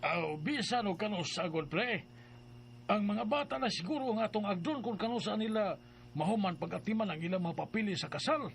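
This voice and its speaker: An earnest family man in a radio drama. His voice is very high for a man and he explains with emphasis. Faint noise sits behind him. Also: a little fast, creaky. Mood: reassuring.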